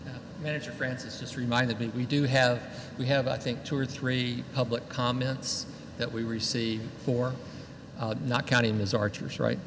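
A man chairing a village board meeting, speaking in a loud, raised voice so the room can hear. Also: mid-range voice, little pitch movement, moderate pace. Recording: reverberant hall, faint background noise.

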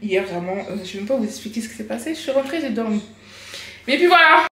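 A woman's voice: a little high, animated, fast talker, bright, forceful tone. Young woman vlogging, slangy and chatty. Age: 20s. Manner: chatty slangy asides to camera. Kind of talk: casual vlog chat. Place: flower garden outdoors and hotel room. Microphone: handheld camera at arm's length. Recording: echoey with crowd and noise behind.

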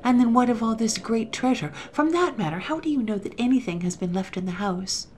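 A woman's voice: fairly low pitch, moderate pace, a little hiss or hum, natural voice. A woman reading the part of a nervous male accomplice who whispers eager, worried questions. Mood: anxious. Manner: hushed, excited, questioning.

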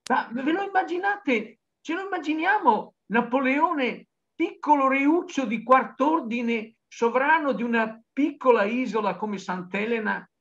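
Older man, impassioned and declamatory, very animated intonation, dull video-call sound. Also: very high voice, measured pace. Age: older, 60s to 70s. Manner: dramatic, declamatory, emphatic. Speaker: novelist guest. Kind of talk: impassioned spoken reflection in an interview. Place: book-lined study indoors, on a video call. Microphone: computer video-call microphone.

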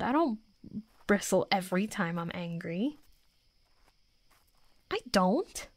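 Casting: actress as young tsundere werewolf woman, tough but flustered, stammering, slower delivery, mid pitch, close dry sound. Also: no room sound, full character voice. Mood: indignant, embarrassed. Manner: flustered, stammering, gruffly defensive. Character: tough, defensive young female werewolf, secretly smitten tsundere. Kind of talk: intimate roleplay audio drama.

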